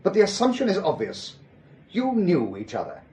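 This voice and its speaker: An actor playing a suave, probing German police inspector in a radio drama, formal and precise, with a German accent. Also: male voice, high voice, huge pitch swings, normal speed, darkish tone, echoey room. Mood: stern, insistent. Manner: formal, precise, elaborately polite.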